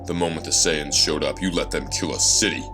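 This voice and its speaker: Man acting a very deep, grim vigilante. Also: steady tone, a little fast. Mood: bitter scolding. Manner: stern, accusatory. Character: grim vengeful male caped vigilante, bitter and accusing. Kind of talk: dramatic fan fiction audio acting. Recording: loud music under the voice. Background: loud music.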